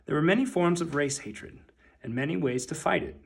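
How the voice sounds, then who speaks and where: A man reading a children's picture book aloud as narrator, with deliberate, emphatic phrasing. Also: on the high side, lively intonation, creaky, slightly rough.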